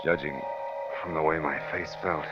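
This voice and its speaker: An actor playing a weary, wry, tough private detective in 1940s radio noir style, with dry, clipped delivery. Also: male voice, a bass voice, fairly even intonation, moderate pace, music in the background. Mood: wry, brooding. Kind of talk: 1940s radio noir acting and first-person narration. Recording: dull old radio recording.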